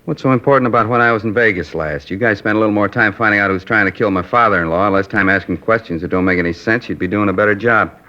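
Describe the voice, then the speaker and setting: A bristling, evasive suspect snapping curt, sarcastic answers in a low, fast voice. The sound is dull and lo-fi, like an old radio broadcast. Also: male voice, sharp tone. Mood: irritated. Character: defensive, irritable male murder suspect. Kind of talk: 1950s radio drama acting. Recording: dull lo-fi 1950s radio recording.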